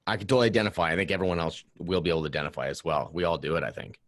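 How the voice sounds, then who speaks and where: A man's voice: sits low, fairly even intonation, rapid-fire, no room sound, studio quality. A man hosting a podcast in casual interview chat, effusive and rambling, often repeating his words. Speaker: podcast host.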